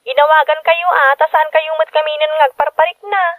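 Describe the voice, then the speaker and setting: A concerned, cautious younger woman in Ilocano radio drama. Her voice sits fairly high and asks gently, in a dry recording with little room sound. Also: fairly even intonation, a little fast, no echo. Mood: caring concern.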